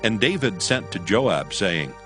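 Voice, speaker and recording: A male narrator reads scripture in a low voice. His delivery is formal, measured and solemn, with music playing behind him. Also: very animated.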